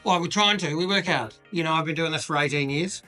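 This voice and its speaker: A New Zealand man talks up his company's product in an easygoing way. His voice is bright and a little high. Soft music plays behind him. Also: fast talker. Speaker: business owner guest. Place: bar in a city street.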